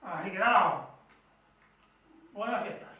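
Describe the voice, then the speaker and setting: A man's voice: high-pitched, animated, a little slow, dull audio. A man rambling and repeating himself through an improvised holiday greeting and product tasting. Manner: rambling, repetitive, tag questions. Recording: very echoey, distant mic, slight noise.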